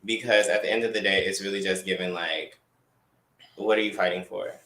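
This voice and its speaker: A man co-hosting a casual talk show, speaking in a calm, measured, thoughtful way. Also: low voice, slight background noise. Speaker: podcast co-host. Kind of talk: casual chat. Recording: echoey room.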